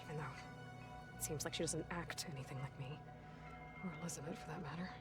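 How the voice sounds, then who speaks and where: Earnest, low-pitched young woman warrior played by an actress. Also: a little fast, loud music behind the voice, close to an everyday voice. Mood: quiet, reflective. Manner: earnest, introspective. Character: young adventurous woman warrior, guarded, reflective, burdened. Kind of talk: video game voice acting, musing to herself.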